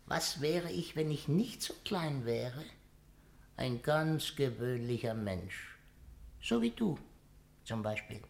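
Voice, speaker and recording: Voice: mid-range voice, vocal fry. An actor in a 1950s radio play voicing a stunted dwarf acrobat, young, proud, wry and world-weary, with a theatrical, sardonic delivery. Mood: dry, mocking. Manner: theatrical, sardonic, shifting between hushed and sharp.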